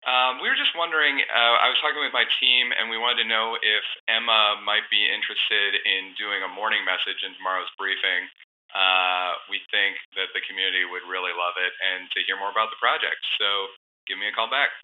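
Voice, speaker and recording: A man's voice: lowish voice, fairly even intonation, brisk, narrow, tinny audio, slight background noise, close to an everyday voice. A man playing a courteous, hesitant media caller leaving a voicemail in an audio drama. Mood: awkwardly polite. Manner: hesitant, polite.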